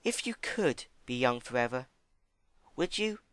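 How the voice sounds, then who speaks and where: A man voicing a gentle, timid female pony with a soft, hesitant manner in a dramatic storybook reading. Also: medium pitch, sing-song, a little slow, clean tone, no echo, voice pushed up. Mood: earnest. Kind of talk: dramatic fan fiction reading.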